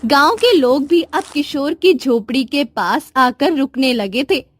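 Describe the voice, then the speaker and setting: A woman's voice: high voice, expressive, measured pace. A woman narrating a scripted moral story as a storyteller.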